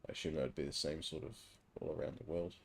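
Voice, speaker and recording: A man's voice: a bass voice, little pitch movement, fast talker, vocal fry, husky. A man from England with an English accent, chatting casually as a live streamer while gaming, teasing and swearing casually.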